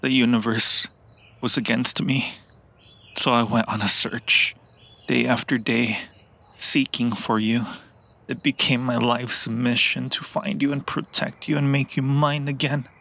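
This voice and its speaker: Slow, creaky seductive vampire played by a man. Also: medium pitch, little pitch movement, bright, forceful tone, heavily acted. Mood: mournful, vowing devotion. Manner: slow, romantic, theatrical, lingering pauses. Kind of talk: intimate ASMR roleplay, improvised monologue.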